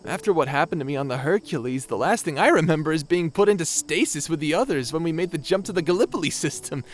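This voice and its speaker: A man's voice: on the high side, lively intonation, fast, natural voice. A man playing an awkward, sympathetic young lord in a sci-fi audio drama, speaking in a hesitant, wry, stammering way. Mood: wry, wistful. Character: awkward, sympathetic young male lord revived from century-long stasis. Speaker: voice actor in an audio roleplay. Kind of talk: conversational audio drama acting.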